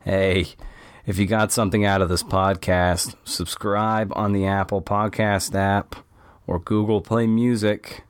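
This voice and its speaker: Low-voiced male podcast host. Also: little pitch movement, clean tone, no echo, clean studio sound. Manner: halting, restarts while asking questions. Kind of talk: casual interview hosting.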